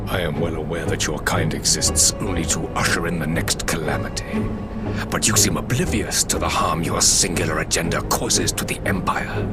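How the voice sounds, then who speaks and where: A man plays a ruthless, imperious game emperor with a low voice and grave, formal speech. Loud music runs behind every word. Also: fairly even intonation, moderate pace, lightly acted. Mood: accusing. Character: stern, ruthless, proud young-line emperor of a military empire. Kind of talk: video game voice acting, dramatic dialogue.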